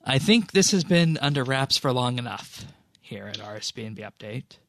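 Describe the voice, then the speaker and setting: A man hosting a podcast, reading out announcements and updates in a measured, businesslike way. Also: mid-range voice, animated, gravelly, dry recording, clean studio sound. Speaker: podcast host.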